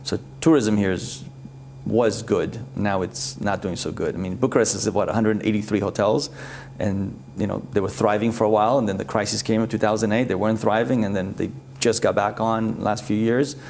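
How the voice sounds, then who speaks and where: A man speaks as a guest in a casual interview, with a low voice and a quick pace. He explains his points with facts and figures, over faint background noise and hum. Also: steady tone, creaky, darkish tone. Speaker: talk show guest. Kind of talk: conversational interview.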